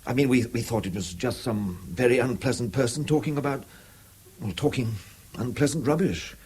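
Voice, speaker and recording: A man's voice: mid-range voice, moderate pace, a little mellow, breathy edge, lightly acted. An actor playing an anxious, apologetic middle-aged English father in a 1970s British radio drama, hesitant and deferential. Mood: distressed. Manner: hesitant, deferential, repeats words. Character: anxious, apologetic middle-aged family man, timid but persistent.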